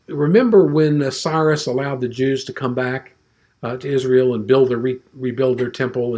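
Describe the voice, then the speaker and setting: A man's voice: mid-range voice, darkish tone. A man teaching an informal Bible class, rambling and conversational, with frequent restarts and fillers.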